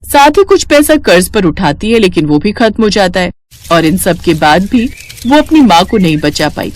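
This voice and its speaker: A woman's voice: medium pitch, very animated, normal speed, slight background noise. A woman narrating a scripted story as its storyteller.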